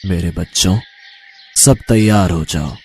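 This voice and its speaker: A man playing an elderly guru in a storybook tale, calm, fatherly and brave, speaking in a measured, gently commanding way. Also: low-pitched, a little hiss or hum, voice pushed down. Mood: grave, commanding. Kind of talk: animated storybook character acting.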